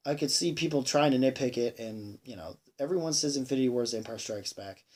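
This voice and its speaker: A man giving a casual, unscripted movie review, rambling and halting, repeating himself emphatically and sounding a bit tired. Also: mid-range voice, darkish tone. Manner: sweary, emphatic. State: tired, sighing.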